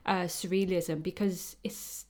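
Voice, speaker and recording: A woman's voice: on the low side, level tone, measured pace, darkish tone. Casual Welsh woman photographer. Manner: casual, informal, frequent "sort of" and "um". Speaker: photographer, interview guest. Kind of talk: conversational interview.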